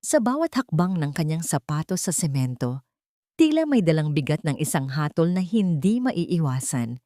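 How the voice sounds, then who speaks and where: Low-voiced woman narrating dramatic stories. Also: very animated, normal speed, dark tone, no echo, clean studio sound. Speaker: storyteller. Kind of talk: dramatic scripted audio story narration.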